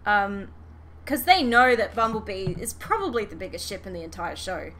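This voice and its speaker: A woman's voice: mid-range voice, normal speed. A woman podcast host chatting casually and animatedly about a fandom, swearing freely. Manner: animated, sweary, giggly retelling. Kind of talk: casual fan podcast chat. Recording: clear background noise.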